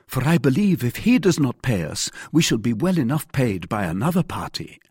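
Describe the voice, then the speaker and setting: A shrewd young musketeer, acted out by a male audiobook reader, bold and commanding. His pitch rides high and swings widely, with a slightly husky edge and a dry, close sound. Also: sing-song, moderate pace, darkish tone, no room sound. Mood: earnest, persuasive. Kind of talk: audiobook character acting.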